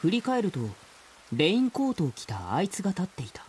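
A man playing a wistful narrator in a radio drama, recalling childhood in a quiet, reflective way. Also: high voice, huge pitch swings, fairly quick, slight background noise, voice pushed up. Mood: suspenseful.

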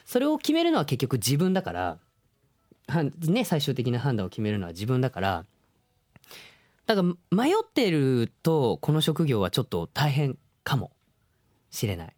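A man co-hosting a casual radio show, giving gentle, encouraging advice and often seeking agreement. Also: mid-range voice, huge pitch swings, normal speed, no room sound, studio quality. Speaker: radio show host, voice actor.

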